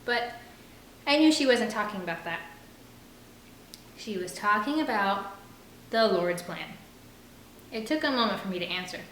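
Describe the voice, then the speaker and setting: A woman's voice: medium pitch, animated, a little slow, pauses often, a bit bright. Woman reading a novel aloud, echoey room. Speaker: audiobook reader. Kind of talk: reading a novel aloud, first-person narration. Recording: roomy echo with faint background noise.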